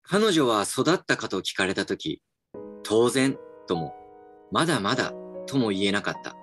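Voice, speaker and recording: An actor as wry, reflective office man, very lively pitch. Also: moderate pace. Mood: wistful, narrating. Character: reflective male company employee, wry and a little dejected. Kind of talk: voice drama acting with inner narration. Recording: music behind the voice.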